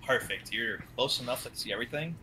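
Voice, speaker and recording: A man co-hosting a podcast in casual chat, joking and animated. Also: medium pitch, fast, slight background noise, rough recording. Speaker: podcast co-host.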